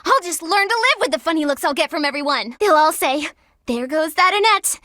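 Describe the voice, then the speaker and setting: An actress playing an earnest, clumsy young woman student in a video game, excitable and easily flustered. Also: high-pitched, a little fast, no room sound, clean studio sound. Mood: pleading, indignant. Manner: animated, easily flustered, hums silly made-up songs. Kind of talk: video game character dialogue.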